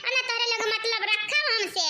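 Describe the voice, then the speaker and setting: A woman's voice: very high voice, normal speed, background noise. A woman voicing a crafty, defiant old lame village mother-in-law in a cartoon, scolding and boasting with an Awadhi accent. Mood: angry defiant. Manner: boastful, scolding, repeats words for emphasis. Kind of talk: exaggerated comic cartoon acting.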